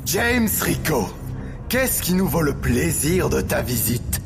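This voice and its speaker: An actor playing an imperious, suspicious crime boss in an audio drama, mock-courteous and threatening. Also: male voice, on the high side, big pitch swings, slow, gravelly, over music, heavily acted. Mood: menacing, mocking.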